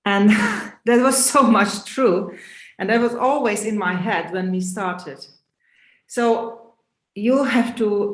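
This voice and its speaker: A woman's voice: mid-range voice, roomy sound, low-quality recording. A woman who runs a business, giving firm advice as a guest on an online panel, with nonnative phrasing. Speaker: business owner, guest speaker.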